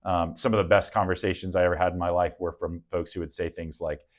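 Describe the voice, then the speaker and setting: Man, from the American South, very deep voice, interview guest, earnest, thoughtful, lots of ums and restarts. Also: little pitch movement, fast talker. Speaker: guest, real estate agent. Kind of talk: casual interview conversation.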